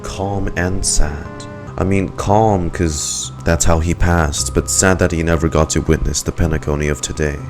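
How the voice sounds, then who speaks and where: A calm man streaming a video game, reading its text aloud with casual asides and small stumbles. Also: low-pitched, measured pace, hardly any pauses. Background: game music.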